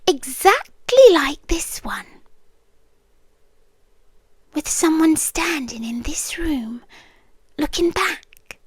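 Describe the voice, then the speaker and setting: Close and very dry, this is a woman acting as a possessive British lady doctor in an ASMR roleplay. Her voice is high and soft, often dropping to a whisper. She speaks extremely slowly and deliberately, with an eerie, soothing bedside hush. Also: no echo, exaggerated voice. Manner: hushed, deliberate, slipping into whispers, long pauses. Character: soft-spoken eerie, possessive female doctor tending a lone patient.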